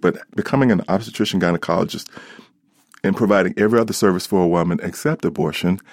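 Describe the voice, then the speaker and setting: A middle-aged American man with a very low voice, speaking as an interviewed doctor. His delivery is measured and articulate, and the sound is close and dry. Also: darkish tone, no room sound. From Alabama, United States. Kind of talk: reflective interview talk.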